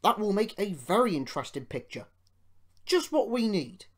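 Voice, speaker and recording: A woman's voice: mid-range voice, sing-song, normal speed, voice pushed up. A woman voicing a grave, decisive male railway controller giving orders in a children's storybook. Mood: commanding.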